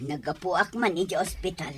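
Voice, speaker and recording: A woman's voice: fairly low pitch, moderate pace, breathy edge. A woman with an Ilocano accent playing an exasperated mother who scolds and exclaims in an audio drama. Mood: evasive.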